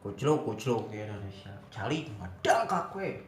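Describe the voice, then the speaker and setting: A man's voice: lowish voice, sing-song, normal speed, a little hiss or hum. A man with a Sundanese accent telling comic stories in casual chat, full of acted exclamations and repetitions, recorded in an echoey room. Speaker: joke teller. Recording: echoey room with music behind.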